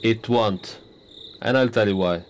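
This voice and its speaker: Older male statesman, low-pitched, blunt and teasing; actor's radio play role, faint night insects. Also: measured pace, slight background noise, natural voice. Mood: wry. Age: 60s. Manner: wry, teasing, self-assured. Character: older male prime minister, smug, blunt, self-satisfied. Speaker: politician character. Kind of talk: radio drama acting.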